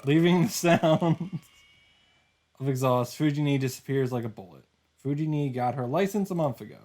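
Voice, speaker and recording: A man's voice: mid-range voice, moderate pace, darkish tone. A man reading visual novel narration aloud as a streamer, with informal, casual reactions. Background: game music.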